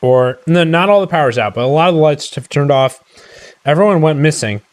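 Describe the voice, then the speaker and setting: A man's voice: a little high, fairly quick. A man co-hosting a stream, giving casual running commentary as he plays a video game. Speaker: co-host playing a video game.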